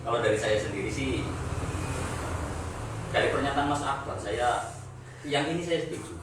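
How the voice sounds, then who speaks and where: A young man in a casual group discussion, relaxed and agreeable, thinking aloud as he speaks. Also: medium pitch, moderate pace. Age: young adult. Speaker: discussion participant. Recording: echoey room, distant mic, faint noise.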